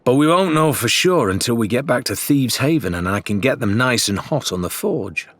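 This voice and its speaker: A man's voice: medium pitch, expressive, a bit bright, no room sound, pitched above the performer's own voice. A man voicing a practical pirate crewman and smith in an audiobook, speaking in a thoughtful manner. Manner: slow, thoughtful.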